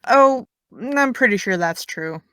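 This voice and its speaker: A woman's voice: a little high, expressive, slow pace, bright, forceful tone, smooth, no room sound, studio quality, exaggerated voice. Young woman playing a blunt sarcastic teen girl. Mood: sardonic. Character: blunt teasing high school girl, no filter. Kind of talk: audio drama.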